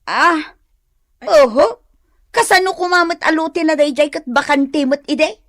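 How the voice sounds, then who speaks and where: A woman's voice: sits high, measured pace, fairly bright tone, dry recording, clean studio sound. A woman playing a flustered, evasive village gossip in Ilocano comedy radio drama, stammering and whiny as she feigns innocence. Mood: dismayed.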